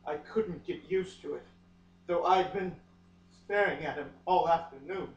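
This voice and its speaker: A man giving an overwrought, melodramatic comic reading of a novel with lingering dramatic pauses. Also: a little high. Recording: echoey room, far from mic, muffled.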